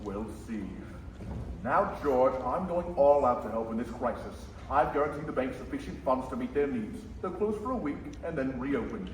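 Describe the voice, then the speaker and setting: Male student as menacing rich old banker, smug, commanding, mid-pitched, echoey radio-play stage. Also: expressive, normal speed, lightly acted. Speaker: high school stage actor. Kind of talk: theatrical radio play acting. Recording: echoey room with background noise.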